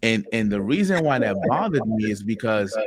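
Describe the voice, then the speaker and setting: A man's voice: fairly low pitch, sing-song, moderate pace, crowd noise. A man from Haiti, a podcast guest, tells personal stories in an earnest way, repeating words as he starts his sentences. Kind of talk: reflective personal storytelling.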